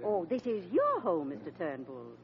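A woman's voice: lowish voice, wildly swooping pitch, moderate pace, slight background noise. A woman playing a formal, refined and politely cool college dean in old radio comedy style. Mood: courteous. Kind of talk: 1940s radio comedy acting. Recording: dull old radio recording.

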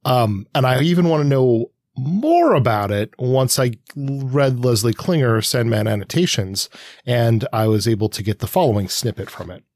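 A man's voice: mid-range voice, expressive, normal speed, darkish tone, no room sound. Enthusiastic male podcast co-host, dry sound. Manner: enthusiastic, keen fan. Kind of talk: podcast discussion with prepared remarks.

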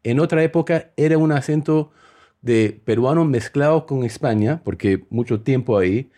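Male conversational storyteller, very mellow, English native, mixed Peruvian, Spain and Mexican accent in Spanish, voices both sides of exchanges. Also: mid-range voice, moderate pace. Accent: mixed Spanish with Peruvian, Spain and Mexican influences. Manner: acts out dialogue while telling anecdotes. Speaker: host.

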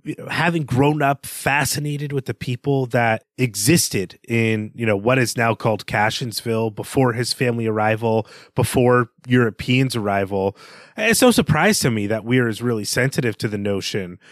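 A man's voice: mid-range voice, normal speed, no echo, clean studio sound. A man co-hosting a podcast, analysing a book reflectively and restating his points as he goes. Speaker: podcast co-host.

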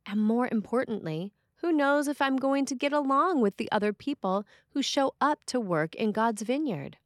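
A woman priest reading a written sermon for a podcast in an expressive way. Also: mid-range voice, no echo, studio quality.

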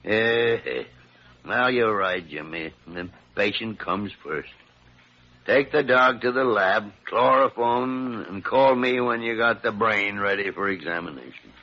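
An actor playing a gruff, sarcastic older senior doctor in an old radio drama. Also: male voice, fairly low pitch, measured pace. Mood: grudging. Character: crusty, sharp-tongued older senior doctor, male. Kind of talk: 1940s radio drama acting. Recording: dull old radio recording.

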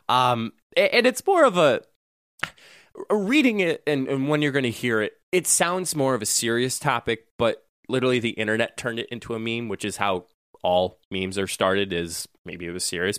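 A man's voice: medium pitch, clean tone, dry recording, clean studio sound. A man co-hosting a casual chat podcast, talking loosely and laughing often. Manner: laughs often, animated and chatty. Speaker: podcast co-host.

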